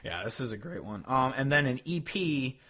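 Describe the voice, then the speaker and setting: A man's voice: medium pitch, very animated, moderate pace, sharp tone. A man co-hosting a podcast with casual music review chat, talking in an informal, joking way with some swearing. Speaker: podcast co-host.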